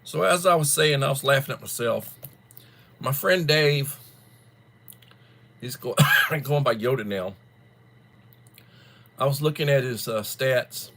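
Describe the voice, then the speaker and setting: A man hosting a casual livestream, chatting to viewers while still stuffed up and coughing from the flu, in a rambling, drawn-out way. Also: medium pitch, vocal fry, sharp tone. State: congested, coughing after flu. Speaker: livestream host. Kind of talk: casual chat greeting viewers.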